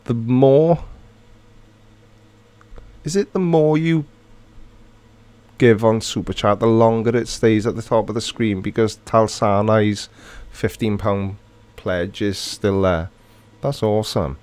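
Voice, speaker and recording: A man's voice: on the low side, steady tone, slow, darkish tone, smooth, no echo. A middle-aged man from Wales with a Welsh accent, casually chatting and reading viewer comments aloud on a livestream. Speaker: livestream host.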